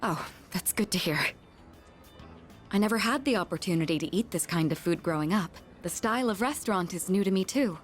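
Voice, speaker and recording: A woman performs a polite, well-bred young woman from a video game, with a lively, melodic delivery. She is earnest and naively curious, choosing formal words and the odd French phrase. Faint music plays behind her. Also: lowish voice, fairly quick, close to an everyday voice. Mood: warm, wistful.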